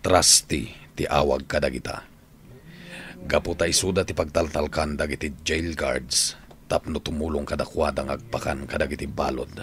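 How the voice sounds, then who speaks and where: A man acting an older prisoner in an Ilocano radio drama, calmly and measuredly counselling a younger inmate. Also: very deep voice, moderate pace, a little hiss or hum. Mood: reassuring, explaining. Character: older male prisoner, calm fatherly advisor to younger inmate.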